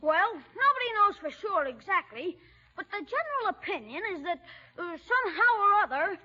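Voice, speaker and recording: A brash twelve-year-old boy in a 1940s radio comedy. The voice is extremely high and sharp, full of slangy cheek. The old recording sounds muffled. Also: big pitch swings, normal speed, full character voice. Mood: evasive.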